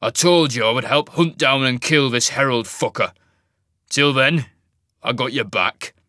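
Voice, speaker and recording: A grim, spiteful warrior snarls every line in a rasping growl, as a male narrator puts him on. His voice comes out hard-edged and sharp, slow and coarse. The audiobook sound is close and dry. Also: mid-range voice, no room sound. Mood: snarling. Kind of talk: audiobook character voice.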